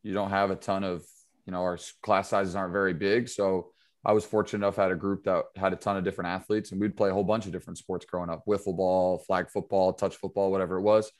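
A man's voice: low-pitched, fast talker. A man from upstate New York, a basketball trainer, talking loosely and casually as a podcast guest. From upstate New York, USA. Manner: relaxed, loose, filler-heavy talk. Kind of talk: casual interview chat.

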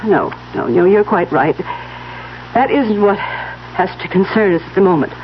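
A woman's voice: animated, a little slow, lightly acted. Lady playing a scheming snobby mom in a 70s radio play, very dramatic, dull sound with faint hiss. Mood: bitter, determined. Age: middle-aged. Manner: haughty, dramatic, emphatic. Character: scheming, indignant wealthy mother, clinging and haughty. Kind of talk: 1970s radio drama acting. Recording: dull noisy old radio recording, low hum.

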